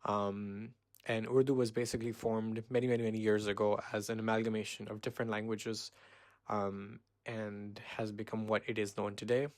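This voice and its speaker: A man in his twenties from Pakistan, hosting a casual solo podcast and rambling with many pauses and self-corrections. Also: fairly low pitch, level tone, a little mellow, no room sound. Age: 20s. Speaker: podcast host.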